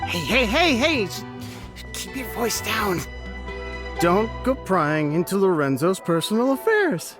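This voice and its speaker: A man's voice: high-pitched, big pitch swings, measured pace, over music. A man voicing a drunk, easygoing businessman in a video game, rambling and theatrical. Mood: nervous, warning. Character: tipsy, chatty, easygoing businessman who drinks.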